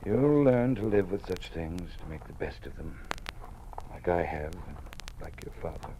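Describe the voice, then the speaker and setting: A low-pitched old man, a cautious college dean worn down by fear. He speaks in formal, measured, fatherly phrases in classic radio drama style. The vintage recording has a light layer of noise. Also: lively intonation. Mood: weary, confiding. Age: older.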